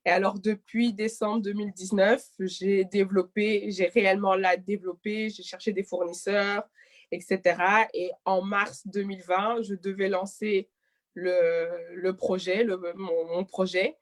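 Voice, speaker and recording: A woman's voice: medium pitch, level tone, measured pace. A woman of twenty-seven from Belgium, giving conversational interview answers and often correcting herself mid-phrase. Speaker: interview guest, clothing e-shop founder.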